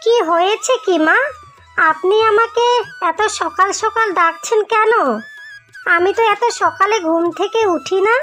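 A woman's voice: very high voice, a little slow, bright, forceful tone, faint music behind, exaggerated voice. A woman voicing a scheming young twin wife. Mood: rude. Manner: sly, sweet-talking, then rude and lazy. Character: young wife, one of twins, scheming and sharp. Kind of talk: dubbed cartoon voice acting.

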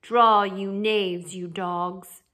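A woman's voice: medium pitch, steady tone, very slow, pushed and bright. A woman voicing a short, pompous, hot-tempered male knight from a storybook, blustering in archaic speech. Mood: blustering outrage.

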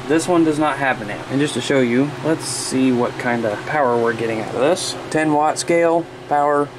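A man in his thirties talking casually and informally, explaining things in a rambling way as a hobbyist vlogger. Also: medium pitch. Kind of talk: casual vlog chat. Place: outdoors in a yard, later a small room indoors. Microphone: camera at arm's length. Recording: noisy camera audio with background hum.

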